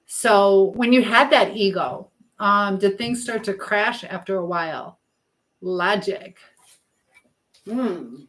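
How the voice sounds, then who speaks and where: A woman's voice: mid-range voice, takes it slowly, long pauses, a bit bright. A woman chatting casually as a livestream host while busy in a home kitchen, rambling informally as she answers viewers. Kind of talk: casual live chat while baking. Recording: echoey room with clear background noise.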